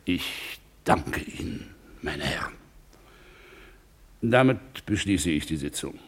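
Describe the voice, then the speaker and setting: An actor playing a pompous, blustering older club president and stern father in a 1950s German radio play, with an oratorical, gruff manner. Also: sits low, expressive, takes it slowly. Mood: pompous, solemn.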